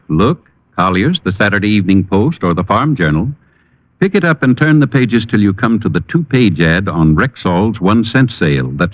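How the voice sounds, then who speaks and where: A man's voice: on the low side, no echo. A man reading a 1950s radio commercial with a polished, emphatic announcer's sales delivery. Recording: dull, dry old radio recording.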